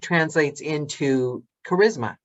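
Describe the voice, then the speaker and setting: A woman hosts a podcast from a remote call, her voice slightly muffled and lo-fi. She speaks in a middle pitch, thoughtful and encouraging. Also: slow pace. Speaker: podcast host. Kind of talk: casual podcast conversation. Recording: slightly dull lo-fi call audio.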